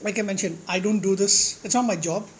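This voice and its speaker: A man vlogging with a slightly high voice, talking casually and bluntly. He rambles with restarts and asides, and curses when exasperated. A faint hiss sits under his voice. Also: fairly even intonation, fairly quick. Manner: blunt, exasperated, swearing at critics. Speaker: food vlogger. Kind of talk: casual rant to camera while eating.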